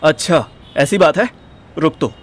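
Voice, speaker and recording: A man's voice: fairly high pitch, unhurried, a little hiss or hum, lightly acted. A man playing a hot-headed, jealous, teasing young boyfriend in an audio drama, with an Indian accent and exclamatory delivery that mixes in English. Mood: indignant, angry. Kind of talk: Hindi audio drama acting. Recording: music behind the voice.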